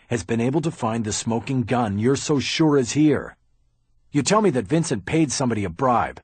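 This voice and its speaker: A defensive, sarcastic lawyer, put on by a male narrator, his voice lifted well above the narration and pressing hard. The tone stays husky and dry, with a lively swing in pitch. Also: dry recording. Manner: heated, raised and insistent.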